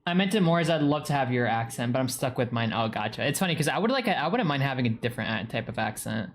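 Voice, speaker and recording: A man's voice: medium pitch, expressive, quick pace. A young man with a New England accent, chatting casually with viewers on a live stream and rambling with lots of fillers. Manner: rambling, heavy use of "like". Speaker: streamer reading chat.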